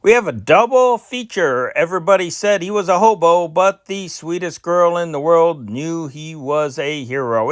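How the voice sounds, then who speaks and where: A man hosting an amateur reading of vintage newspaper news and listings, with a halting delivery that stumbles over names. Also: on the high side, a bit bright, dry recording, clean studio sound.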